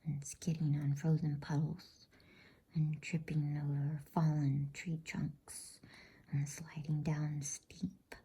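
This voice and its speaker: A woman reading a bedtime story in a soft, unhurried ASMR whisper. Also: deep voice, level tone. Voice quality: breathy, unvoiced whisper. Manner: soft, slow, unhurried, soothing delivery.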